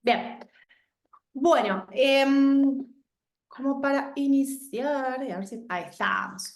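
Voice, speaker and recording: A woman's voice: high voice, slow pace, roomy sound. A woman from Argentina, a guest speaker presenting her organization on a video call in a composed, explanatory way.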